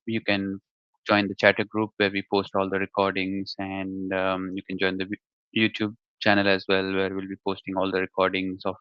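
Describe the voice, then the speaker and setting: A man from India opens a virtual developer meetup in a low, even voice that stays flat in pitch. His speech is clean and smooth, recorded dry and a little muffled, as on a video call. He pauses often on fillers. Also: dry recording. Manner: hesitant, many fillers. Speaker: developer group leader and host. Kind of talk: webinar introduction.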